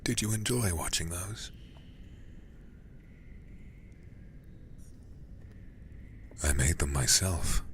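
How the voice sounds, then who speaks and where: A man's voice: low-pitched, lively intonation, slight background noise. A man doing a whispering, possessive lover. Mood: calm, eerie. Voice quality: soft whispering, hushing. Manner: slow, coaxing, falsely tender. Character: possessive, controlling male lover, soothing yet menacing. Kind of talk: audio roleplay, whispered.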